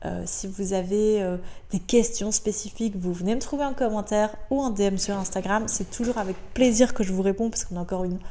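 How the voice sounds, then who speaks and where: A woman's voice: medium pitch, a little fast, few pauses, mellow, a little hiss or hum. A Belgian woman with a French accent hosting her own podcast, talking in a chatty, upbeat way with many filler sounds and repeated words. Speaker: podcast host. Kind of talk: casual solo podcast monologue. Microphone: podcast microphone.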